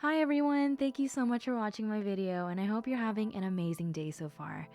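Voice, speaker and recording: A woman's voice: mid-range voice, brisk, no echo, highs cut off, soft background music. A woman audio creator speaking directly to listeners in a friendly sign-off style, thanking supporters. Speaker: roleplay audio creator and voice actor.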